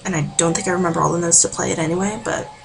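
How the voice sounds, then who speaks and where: Woman, low voice with fry, informal amateur singing tutor, rambling delivery, noisy home recording. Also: steady tone, very fast. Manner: rambling, informal, with filler words. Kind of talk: casual vocal warm-up tutorial.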